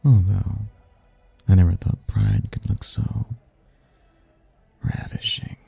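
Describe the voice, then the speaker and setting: An actor voicing a suave, flirtatious male demon in an ASMR roleplay, with a low, husky, gravelly voice and a teasing, smooth-talking manner. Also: fairly even intonation, slow, warm tone, faint music behind, exaggerated voice. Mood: flirtatious, charming.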